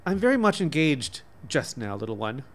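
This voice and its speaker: A man's voice: medium pitch, very animated, moderate pace, lightly acted. Resigned, bookish father read by a man. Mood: gently declining. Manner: resigned, gentle. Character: reluctant bookish father, mildly put-upon.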